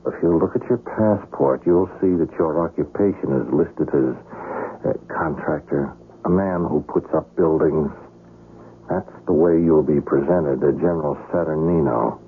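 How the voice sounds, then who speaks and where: A thin, noisy old radio recording carries a low-pitched man in a 1970s drama. He is the polished handler of a hired killer, urbane and sure of himself. Every measured phrase is courteous with menace underneath. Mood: businesslike, patient. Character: smooth, self-assured male handler of a hired assassin. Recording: noisy, narrow-band vintage radio broadcast.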